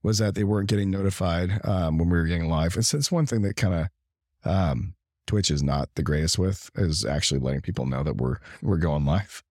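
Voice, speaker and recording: A man co-hosting a casual gaming livestream, talking in a halting, rambling way as his voice gives out. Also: low-pitched, darkish tone, no echo, studio quality. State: losing his voice. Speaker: livestream and podcast co-host.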